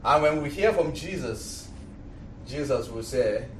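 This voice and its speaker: Cameroonian priest teaching in an echoey, noisy room. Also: male voice, mid-range voice, lively intonation, unhurried. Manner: repeats phrases for emphasis. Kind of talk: religious class lecture. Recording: distant mic, echoey room, loud noise.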